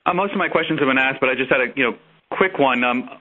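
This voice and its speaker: A man with a middling pitch comes through a narrow phone connection. He asks a reporter's questions tentatively, with small hesitations. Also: quick pace. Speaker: sports reporter. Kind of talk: spontaneous press questioning. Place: conference call over phone. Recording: thin telephone conference line.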